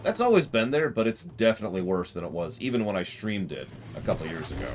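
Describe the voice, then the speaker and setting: A man's voice: lowish voice, big pitch swings, quick pace, slight background noise. A man giving casual, analytical game commentary to a live chat in a blunt, conversational way. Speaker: game streamer. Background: game music.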